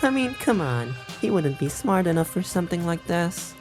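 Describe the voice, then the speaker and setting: A woman playing a sly, cocky turncoat schemer in a visual novel game, with smug, teasing, drawn-out delivery. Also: fairly low pitch, very animated, a little mellow, faint music behind.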